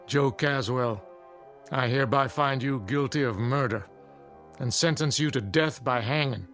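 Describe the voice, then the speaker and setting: An older man acting a grave, weary frontier judge in a Western audio drama, speaking in a solemn, formal, measured way. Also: mid-range voice, a bit bright, soft background music.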